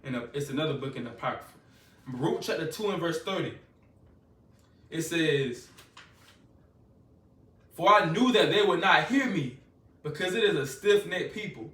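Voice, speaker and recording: High, bright-voiced fervent preacher man. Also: lively intonation, normal speed, pauses often. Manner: fervent, repetitive, loose colloquial preaching. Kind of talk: scripture-reading sermon. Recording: echoey room, voice from a distance.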